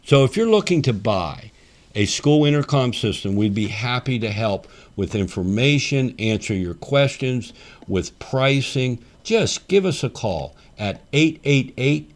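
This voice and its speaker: A man's voice: mid-range voice, big pitch swings, unhurried. A man giving instructional narration as a company representative, working through a checklist step by step and stressing the start of each point. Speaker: company representative presenting a product explainer.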